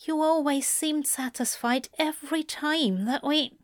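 A woman voicing an anxious, earnest male angel with a hesitant, halting delivery in an audiobook-style story. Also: high-pitched, animated, voice pushed up. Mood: flustered, pleading.

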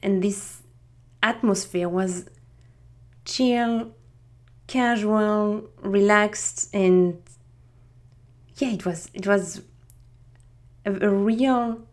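A woman's voice: medium pitch, darkish tone. A middle-aged woman from France with a French accent, a native French speaker, hosting a podcast and telling her own story in a reflective way, often restarting her sentences. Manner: unhurried, reflective, with frequent restarts. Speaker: podcast host.

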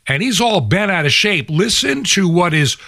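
A man's voice: sits high, sing-song, moderate pace, vocal fry, bright, forceful tone, no room sound, clean studio sound. A man hosting a radio program, delivering opinionated monologue commentary in an indignant, preachy manner with rhetorical questions and emphatic repetition.

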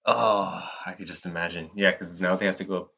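A man with a very low voice chats casually as a podcast co-host. He is animated and jokey and swears freely. The recording is dull and lo-fi, with the detail muffled. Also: fairly quick, breathy edge. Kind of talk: casual chat about movies. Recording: dull, lo-fi band-limited audio.

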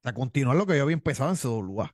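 A man's voice: mid-range voice, big pitch swings, racing, a little mellow, dry recording. A man with a Cuban accent, a wrestler telling his story in an interview, boastful and tough, often repeating himself. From Cuba. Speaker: pro wrestler guest.

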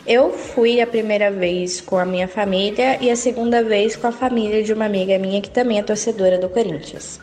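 A woman's voice: mid-range voice, steady tone, brisk, hardly any pauses, darkish tone. An eighteen-year-old woman, a football fan, giving plain, straightforward testimony for an audio documentary.

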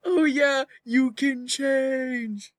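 A man co-hosting a podcast in casual, excitable, joking chat. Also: extremely high pitch, very slow, dry recording, clean studio sound. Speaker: podcast co-host.

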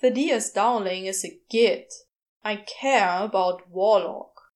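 Storybook part: guarded, grumbling man with a hidden soft side, played by a woman, clipped phrases, slow delivery. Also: mid-range voice, close to an everyday voice. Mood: grumpy, worried. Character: grumpy, guarded male character, secretly worried and caring.

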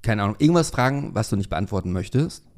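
A man's voice: on the low side, lively intonation, quick pace, vocal fry, a little mellow. A man hosting a casual podcast interview, chatty, with many fillers and self-corrections. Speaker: podcast host. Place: room in a Berlin flat.

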